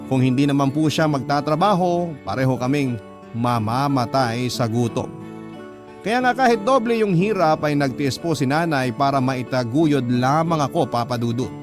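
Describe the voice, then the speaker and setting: A man's voice: medium pitch, lively intonation, moderate pace, over music, lightly acted. A man with a Filipino accent narrating a hard life story as a scripted radio letter, sincere and reflective.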